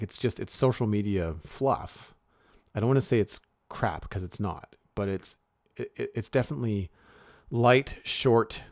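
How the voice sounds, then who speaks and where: A man's voice: lowish voice, darkish tone, no echo, studio quality. A man co-hosting a podcast, chatting casually and rambling with many restarts and fillers. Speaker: podcast co-host.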